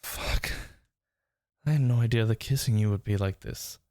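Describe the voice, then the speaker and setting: A man's voice: fairly low pitch, normal speed, warm tone, no echo, clean studio sound, close to an everyday voice. A man playing a smitten, teasing workplace rival turned lover in an intimate romantic audio roleplay, murmuring tenderly and breathily. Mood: tender, amused.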